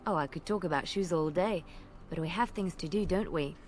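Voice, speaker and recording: A woman voicing a young female bard and former spy in a video game, speaking in a soft, lilting way and lingering on detail. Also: lowish voice, fairly quick, a little hiss or hum, lightly acted. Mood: playful. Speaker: game companion character.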